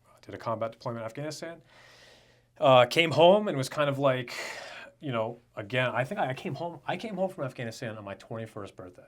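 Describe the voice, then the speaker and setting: Young man, from Rhode Island, podcast co-host, loose unfiltered chat, mid-pitched voice. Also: expressive, brisk. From Rhode Island, USA. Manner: loose, joking, self-described unfiltered. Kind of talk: casual chat.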